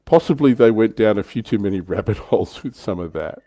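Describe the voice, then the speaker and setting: Deep-voiced man chatting casually. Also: lively intonation, fairly quick, warm tone, no echo. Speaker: livestream host. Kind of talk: casual hobby discussion.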